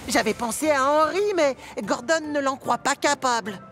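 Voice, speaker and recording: A woman voicing a cheeky, friendly little male engine who talks brightly and teasingly, in a French cartoon dub. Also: high voice, normal speed, lightly acted. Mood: polite. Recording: cartoon soundtrack with loud music.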